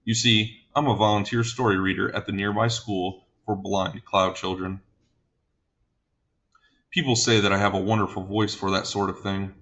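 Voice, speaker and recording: A man playing a fussy, gentle giant giving a flustered, wordy statement to a police officer in a comic monologue. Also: on the low side.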